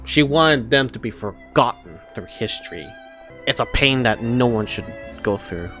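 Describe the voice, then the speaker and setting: A man streaming a casual game reaction, with a very lively pitch range. He is expressive, repeats himself and swears freely, over faint music. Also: moderate pace. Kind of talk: casual live reaction commentary.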